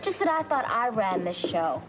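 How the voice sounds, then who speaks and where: An actress playing a brisk young woman who manages a rock singer, speaking with dry, teasing impatience. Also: mid-range voice, big pitch swings, music in the background, close to an everyday voice, rough recording. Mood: impatient. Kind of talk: 1980s TV soap acting.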